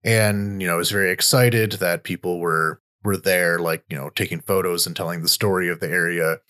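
A man's voice: sits low, fairly even intonation, fairly quick, sharp tone. A man hosting a podcast, talking through war news in a loose, conversational way and often restarting his sentences. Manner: loose, thinking aloud with frequent restarts. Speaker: podcast host and war reporter.

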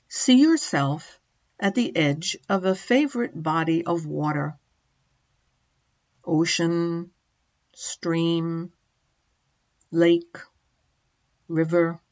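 A middle-aged woman narrating a guided meditation as a teacher, gently and in short measured phrases with long pauses. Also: fairly low pitch, muffled recording.

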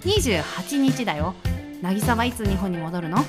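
A woman's voice: medium pitch, expressive, unhurried, over music, pitched below the performer's own voice, lightly acted. A woman playing a sweet young woman who misses her long-distance boyfriend, talking affectionately on the phone in an amateur radio drama. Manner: soft, affectionate phone talk.

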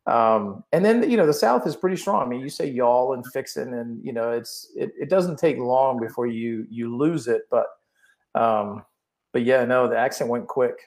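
A man's voice: mid-range voice, moderate pace, darkish tone. A man raised in England, now speaking, chatting as a podcast guest and often repeating words as he gets going. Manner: rambling, repeats words when starting sentences. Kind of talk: casual chat.

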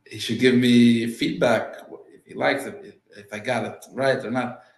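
Man, blunt and emphatic, halting English, echoey room. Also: mid-range voice, fairly even intonation. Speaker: real estate agent guest. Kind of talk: casual interview chat.

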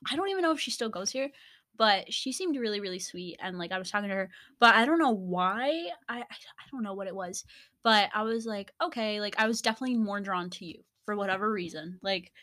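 Bright-voiced young woman, bubbly chatter. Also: animated, fairly quick. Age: young adult. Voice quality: deeper voice than expected. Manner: bubbly, loud laughs, rambling asides. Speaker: podcast co-host. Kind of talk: casual chat.

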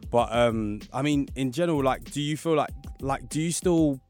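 A man's voice: mid-range voice, moderate pace, darkish tone. Guy hosting a radio show, laid back, swears a bit, faint music behind. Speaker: radio host. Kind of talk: casual radio chat. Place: radio studio.

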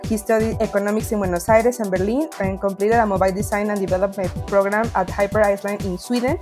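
Woman with over music. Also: mid-range voice. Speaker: podcast co-host. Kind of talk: guest introduction and interview questions.

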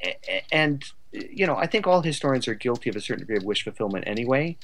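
A man speaking as a podcast guest, casual and thoughtful, halting often as he gathers his ideas. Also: medium pitch, sing-song, a bit bright. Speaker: podcast guest, playwright and author. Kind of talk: casual conversational discussion.

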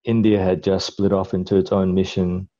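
A man's voice: deep voice, level tone, moderate pace, dark tone, dull audio. A middle-aged man from Malaysia, a guest in a casual interview, telling his story in a rambling way with lots of fillers and restarts.

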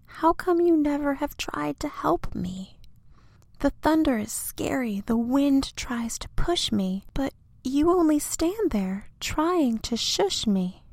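A woman's voice: animated, slow, dry recording, heavily acted. A woman doing a small frightened little tree. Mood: upset. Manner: high, pleading and fearful. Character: small scared young male tree.

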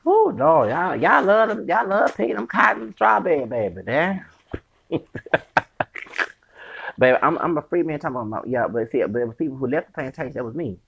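A man with a feminine-sounding voice rants alone on his podcast, rambling and profane. His tone is husky and gravelly, and his pitch leaps all over the place, while laughing, sing-song asides cut in. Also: very animated, normal speed, dull audio. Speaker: podcast host. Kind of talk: rambling solo rant.

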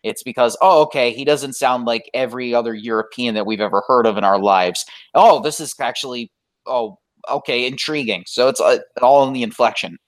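Animated, rambling American woman. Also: extremely low pitch, brisk. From United States. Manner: animated, rambling, many restarts. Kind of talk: casual interview chat.

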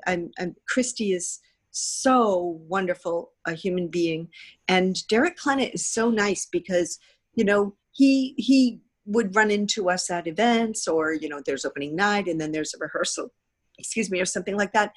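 A woman's voice: on the low side, slightly rough. Chatty woman telling anecdotes. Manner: chatty, anecdotal, acts out quoted remarks. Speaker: podcast guest, theatre producer. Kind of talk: animated storytelling in casual interview.

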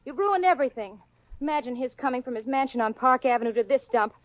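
A woman's voice: high voice, lively intonation, quick pace, no echo. An actress playing a sensible young working woman in a radio sitcom, flustered and exasperated. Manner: flustered, exasperated, socially anxious. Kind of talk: 1940s radio sitcom acting. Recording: lo-fi old radio broadcast with studio audience.

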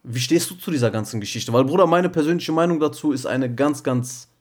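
A man's voice: on the high side, fairly quick. Young man talking slangy and earnest. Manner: slangy, keeps calling the other man bro and checking he follows. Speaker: podcast co-host. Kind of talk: casual podcast chat.

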